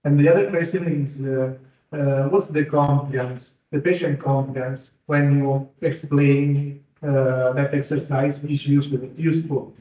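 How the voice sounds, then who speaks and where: Guy in the audience asking a question in english, slow and unsure, echoey muffled room. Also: mid-range voice, fairly even intonation, mellow. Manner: hesitant, searching for words.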